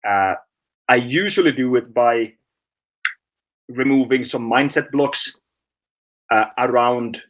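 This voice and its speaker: A man's voice: medium pitch, slow pace, pauses often. A man from Finland, a sleep coach, answering interview questions in a hesitant way, with many fillers, restarts and laughs.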